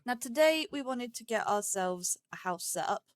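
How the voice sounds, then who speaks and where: A woman's voice: a little high, expressive, moderate pace, dry recording, studio quality. A woman giving casual let's play commentary as the host of a gaming series, rambling and thinking aloud as she goes.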